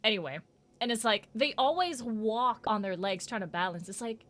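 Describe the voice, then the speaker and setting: A woman's voice: a little high, expressive, normal speed, fairly bright tone. A woman streamer giving casual, informal reaction commentary with a wry, joking manner. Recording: noisy, film audio behind voice. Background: sound of the horror video being watched.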